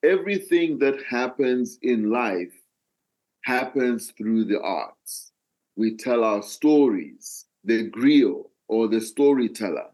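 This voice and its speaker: An older man with a Malawian accent, telling his own story as an interview guest in a deliberate, phrase-by-phrase way. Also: medium pitch, expressive, darkish tone, poor audio. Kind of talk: reflective personal storytelling in an interview.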